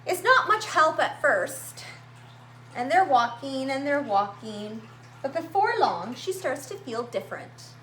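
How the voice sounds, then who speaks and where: A woman reading a picture book aloud to young children, with expressive, dramatic emphasis and drawn-out words. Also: sits high. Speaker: children's story-time reader at a library. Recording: roomy echo, faint background noise.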